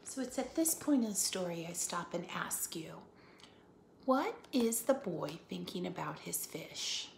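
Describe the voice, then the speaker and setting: A woman reads a children's picture book like a teacher, with a lively, swooping delivery. Her voice sits slightly low and rings in an echoey room. She stops to ask listeners questions. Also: a little slow, gravelly. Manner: expressive, prompts listeners with questions. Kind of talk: animated read-aloud for children with asides and questions. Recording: echoey room, faint background noise.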